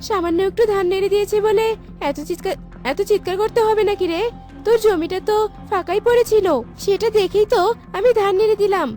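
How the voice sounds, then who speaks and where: A woman's voice: very high voice, steady tone, brisk, mellow. A woman voicing a mature village neighbour in a cartoon, indignant and remonstrating in an exclaiming way. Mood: indignant, pleading. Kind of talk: cartoon voice acting. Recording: music behind the voice.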